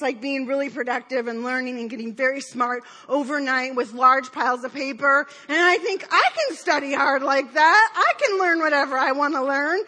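A woman in her forties preaches in an easy, conversational way, acting out her quoted thoughts with playful self-mockery. Her voice sits high and sounds bright and clear. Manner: chatty and self-deprecating, with playful put-on quotes. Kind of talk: conversational sermon.

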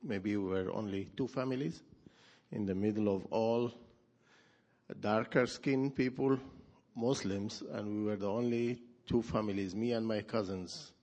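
A man from Sudan introducing himself on a church panel in a measured, plain-spoken way. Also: lowish voice, fairly even intonation, darkish tone.

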